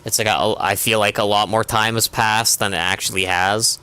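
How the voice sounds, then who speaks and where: A man's voice: on the low side, fairly even intonation, moderate pace, sharp tone, a little hiss or hum. A young man streaming a game, chatting casually in a rambling way with constant filler words. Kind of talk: casual chat while gaming.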